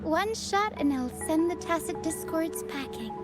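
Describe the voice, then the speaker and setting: A woman voicing a gentle, helpful young girl in a video game, soft-spoken and earnest with a playful streak. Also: very high-pitched, expressive, a little slow, loud music behind the voice. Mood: bright, reassuring. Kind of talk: video game cutscene voice acting.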